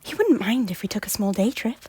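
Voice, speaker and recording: A woman's voice: medium pitch, big pitch swings, fairly quick, slightly rough, no echo. A woman playing a guilt-ridden former queen. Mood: secretive. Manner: hushed, often whispering. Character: guilt-ridden former Scottish queen, defiant yet remorseful. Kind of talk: amateur audio drama acting. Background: faint music.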